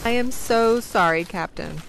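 An actress playing a plucky spaceship crew member in a sci-fi audio drama. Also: female voice, a little high, unhurried, vocal fry, a little hiss or hum, poor audio. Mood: grateful, sheepish. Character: plucky young female crew member held captive.

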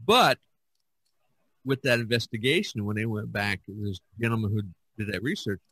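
A man hosting a podcast discussion, talking in an animated, emphatic way with many restarts. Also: on the low side, moderate pace, slightly rough, dry recording. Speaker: podcast host. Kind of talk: casual discussion.

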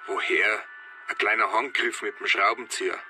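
A sharp, bright-voiced Bavarian inspector. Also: male voice, fairly low pitch, steady tone, moderate pace, slight background noise. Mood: explaining. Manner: insistent, explanatory. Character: earnest, defensive farm safety inspector on the phone. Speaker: actor in a radio crime drama. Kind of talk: naturalistic radio drama dialogue.

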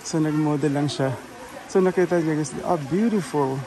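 A woman's voice: low-pitched, moderate pace, dark tone, rough recording. A woman with a Filipino accent and Tagalog as her first language, narrating a casual walking-tour vlog and often addressing her viewers directly as she switches between languages. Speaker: vlogger. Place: outdoors in a garden. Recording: clear outdoor background noise.

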